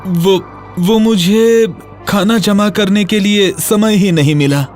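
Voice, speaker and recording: An actor playing a carefree, mocking male grasshopper in a children's animated story, speaking in a drawn-out theatrical way. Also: medium pitch, lightly acted. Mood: sheepish. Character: carefree, mocking male grasshopper who loves singing and dancing. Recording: music behind the voice. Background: music.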